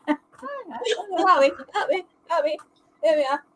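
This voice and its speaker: A woman's voice: extremely high pitch, expressive, slow pace, a bit bright. A woman joining a casual podcast call, giving short, dry remarks. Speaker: podcast guest. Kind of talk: casual chat on a video call.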